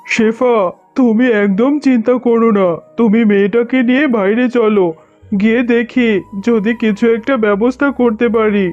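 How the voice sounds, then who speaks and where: A man's voice: extremely high pitch, steady tone, moderate pace, a little mellow, lo-fi sound, faint music behind. A man voicing a caring, resolute jackal husband and father in a cartoon, speaking earnestly and reassuringly. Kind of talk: cartoon dialogue.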